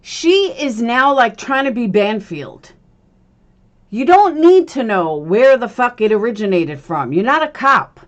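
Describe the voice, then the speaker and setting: A woman's voice: on the high side, expressive, measured pace, dull audio. A woman giving casual reaction commentary in a blunt, scornful manner, swearing freely. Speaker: commentator reacting to a played clip.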